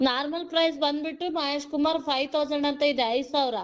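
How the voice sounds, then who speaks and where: Woman recruiter talking fast on a muffled phone call, keeps pushing okay?. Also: high-pitched, fairly even intonation. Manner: pushy, repeats "okay?" to hurry the listener. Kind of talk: phone sales pitch.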